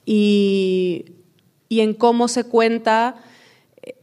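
A woman's voice: on the high side, fairly even intonation, very slow, mellow. A woman speaking on a conference panel, thoughtful and hesitant with fillers. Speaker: panelist and moderator. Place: conference hall with audience.

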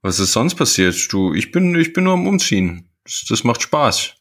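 Deep-voiced man in joking banter. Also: big pitch swings, moderate pace, fairly bright tone. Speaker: podcast co-host. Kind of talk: casual banter.

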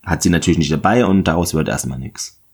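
Host, male, low-pitched, nasal from a cold, casual and digressive. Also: quick pace, creaky, slightly rough. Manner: chatty, rambling, self-correcting. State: stuffy, has a cold. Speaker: podcast host. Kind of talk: casual episode recap.

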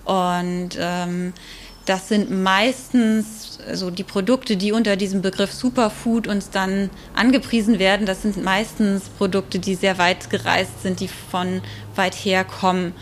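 A woman with a mid-pitched voice speaks as an expert guest in an interview. She explains in an informal, thoughtful way, often pausing on filled hesitations as she goes. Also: steady tone, slight background noise.

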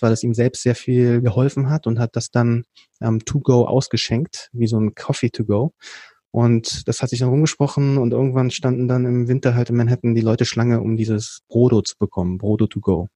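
A man from Berlin, a podcast guest, telling his story in a casual chat, often pausing with fillers and restarting sentences. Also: mid-range voice, fairly even intonation, mellow, no room sound, clean studio sound. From Berlin, Germany. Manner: explains at length with frequent hesitation fillers and restarts. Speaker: podcast guest, company co-founder.

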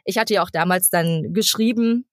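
A woman of about thirty talks casually as an interview guest. Her pitch sits a little low for a woman and the sound is very dry. She gropes for words and is quick to giggle. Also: no echo. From eastern Germany (Lower Lusatia). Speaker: guest, actress and voice actor.

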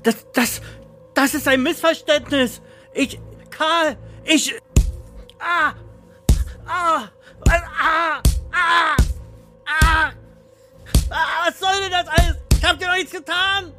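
A man's voice: extremely high pitch, fairly even intonation, takes it slowly, pauses often, music in the background, pitched above the performer's own voice. A man playing a timid, boring accountant in an audio drama, stammering, pleading and crying out in helpless panic. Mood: pleading, terrified.

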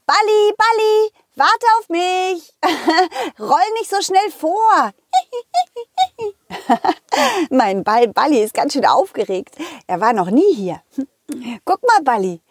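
A woman voicing a cheerful, excitable, loyal dog who tells stories to children in a playful, chatty way. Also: extremely high pitch, slow pace, long pauses, dry recording, clean studio sound, pitched above the performer's own voice, exaggerated voice. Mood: playful, excited. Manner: playful, chatty, giggly, addressing children directly. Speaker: puppet character host. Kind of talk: children's audio story narration.

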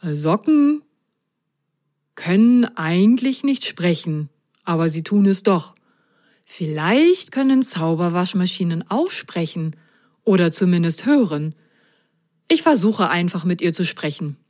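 A woman's voice: medium pitch, measured pace, a little mellow, close to an everyday voice. A woman voicing a dreamy, curious male mop-haired creature in a children's story, wondering and hesitant. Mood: pondering.

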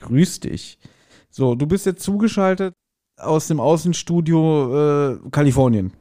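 A man's voice: on the high side, animated, measured pace, dark tone. A man hosting a podcast in a casual, chatty and self-ironic manner. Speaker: podcast host.

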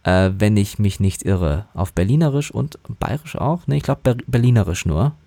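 A man who works as a dubbing actor, talking casually and thoughtfully about his craft with many pauses and restarts. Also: expressive, warm tone, no echo. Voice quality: relatively high voice. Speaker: voice actor guest. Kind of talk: casual interview talk.

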